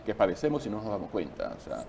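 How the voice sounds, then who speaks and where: Man, talk show host, lowish voice, lively chat, faint hiss behind. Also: racing, gravelly.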